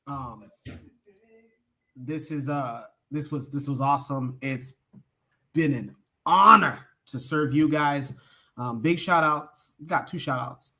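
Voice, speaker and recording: A man from Omaha, co-hosting a livestream podcast, giving enthusiastic closing shout-outs with frequent hesitations and fillers. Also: medium pitch, slow pace, pauses often. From Omaha, Nebraska. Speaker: podcast co-host.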